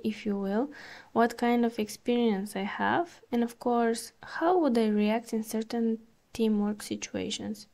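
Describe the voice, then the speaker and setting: Woman reading her own article on a podcast, kinda high voice but mellow, calm and a bit hesitant. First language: Bulgarian. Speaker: podcast host.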